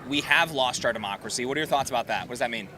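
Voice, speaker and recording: A man's voice: mid-range voice, racing. A man interviewing passers-by on the street, probing with follow-up questions. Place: outdoors on a busy urban street. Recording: noisy outdoor street with traffic.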